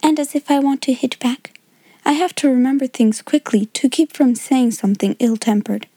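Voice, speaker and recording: A woman voicing a kind, self-controlled young schoolgirl in a storybook, steady and earnest. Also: high voice, normal speed, slightly rough, dry recording. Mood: confiding. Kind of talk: storybook character voice in audiobook.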